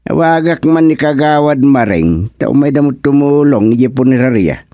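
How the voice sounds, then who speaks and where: A man's voice: medium pitch, animated, moderate pace, dark tone, dry recording, lightly acted. A man performs a practical village man in a radio comedy, matter-of-fact and level-headed. His voice is dry and close to the mic. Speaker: radio drama character. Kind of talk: Ilocano radio comedy acting.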